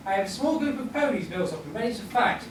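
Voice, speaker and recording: A man's voice: high voice, expressive, quick pace. A man playing a headstrong, war-hungry rebel pony in a radio play read-through, boastful and declamatory. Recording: very echoey convention room, distant, noisy.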